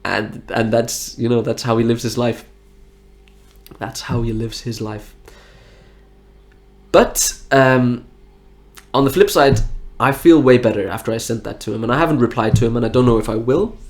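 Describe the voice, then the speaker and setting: A man's voice: medium pitch, normal speed. A nasal-sounding man with the flu and a stuffy nose, talking frankly and reflectively in a rambling personal monologue. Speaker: health vlogger.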